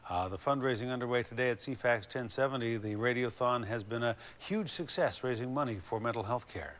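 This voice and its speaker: A man anchors a local newscast. His voice sits at a middle pitch as he delivers reports and puts questions to guests. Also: fairly quick, fairly bright tone. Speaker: news anchor. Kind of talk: live local TV news presenting and interviewing.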